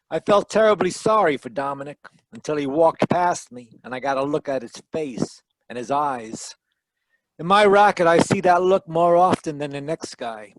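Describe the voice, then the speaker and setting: Man playing a brusque hard-boiled detective, higher-pitched voice. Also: dry recording, lightly acted, low-quality recording. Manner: terse, wry, commanding. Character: hard-boiled male private detective, brusque and world-weary. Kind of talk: 1940s radio detective acting with first-person narration.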